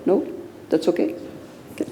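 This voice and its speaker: An older woman with a Belgian French accent, a native French speaker, giving a public lecture in English at a podium microphone, measured and pausing often with "uh". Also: warm tone, a little hiss or hum. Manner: slow, measured, with frequent "uh" pauses. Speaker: guest lecturer. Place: lecture room. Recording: echoey hall with long reverb.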